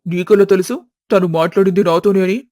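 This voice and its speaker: A man acts out a defensive young lover in a family story. His voice is high for a man, and the sound is dry and close. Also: flat delivery, fast talker, dark tone, dry recording, close to an everyday voice. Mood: defensive, earnest.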